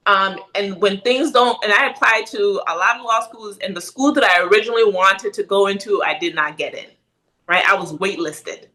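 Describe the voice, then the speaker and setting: Middle-aged woman from Ghana, podcast guest, animated and conversational, with a bright tone. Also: medium pitch, moderate pace, low-quality recording. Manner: animated, frequent "right?" tag questions. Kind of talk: casual interview chat.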